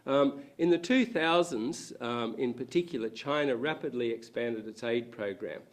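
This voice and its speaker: A man with a hoarse, croaky voice delivers a panel talk in a university lecture room. He speaks at an ordinary, mid-range pitch, pausing often with ums and restarting his phrases as he works through his points. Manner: hesitant, frequent ums and restarts.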